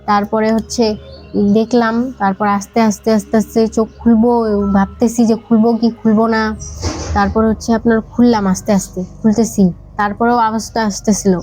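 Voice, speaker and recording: A young adult woman with a Bangladeshi Bengali accent, telling a personal story conversationally in halting, repetitive short bursts. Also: on the high side, level tone, dark tone, rough recording. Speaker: podcast guest. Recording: background music under the voice.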